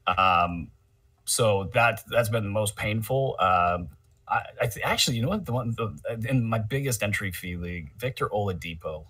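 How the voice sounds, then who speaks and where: A man co-hosting a casual sports chat, talking in a halting, conversational way, a little away from the microphone. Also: on the low side, expressive, sharp tone, dry recording, recorded from a distance. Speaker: podcast co-host. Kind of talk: casual fantasy basketball chat.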